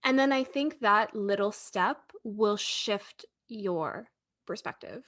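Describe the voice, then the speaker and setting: A woman co-hosting a podcast, casual and joking, speaking in quick asides. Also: medium pitch, a bit bright. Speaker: podcast co-host. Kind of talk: casual conversation.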